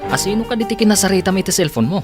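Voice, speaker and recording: A man's voice: high-pitched, lively intonation, very fast, over music. A man with an Ilocano accent playing a suspicious, questioning brother in a village radio drama.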